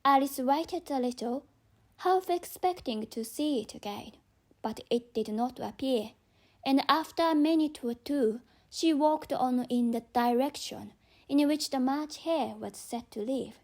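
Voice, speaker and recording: A woman narrates a children's story in a high voice. She reads from a script at a very slow, measured pace, with a non-native English accent. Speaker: audiobook narrator.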